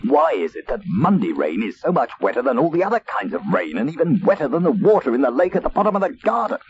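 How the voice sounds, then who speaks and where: A man's voice: medium pitch, wildly swooping pitch, fast, voice pushed up. A man voicing a hapless storybook dog, grumbling and wistful, with a mumbling manner. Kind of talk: storybook character reading. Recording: dull tape transfer, faint noise.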